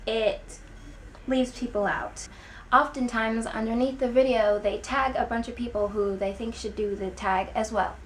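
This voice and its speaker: Young woman, American accent, opinion vlogging, emphatic deliberate stresses, mid-range pitch, faint background noise. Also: fairly even intonation. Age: young adult. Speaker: video blogger. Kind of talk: opinion vlog to camera. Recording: clear background noise, room ambience.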